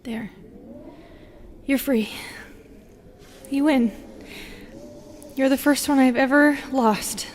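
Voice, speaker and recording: Slow, halting, lonely Medusa played by a woman. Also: high-pitched, steady tone, a little hiss or hum. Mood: resigned, unsure. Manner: hesitant, halting, soft and breathy. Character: lonely, wounded, wary female Medusa warming to a visitor. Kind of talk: intimate audio roleplay acting, often whispered.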